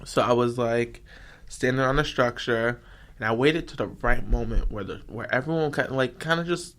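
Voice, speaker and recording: Bright-voiced young man telling stories. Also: medium pitch, normal speed. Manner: loud, animated, swears freely. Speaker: podcast guest. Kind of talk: casual storytelling.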